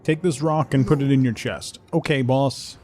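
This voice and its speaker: A man's voice: mid-range voice, fairly even intonation, normal speed, a little mellow. Laid-back male game streamer, faint music. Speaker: game livestreamer. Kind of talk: casual gaming commentary, chatting with viewers.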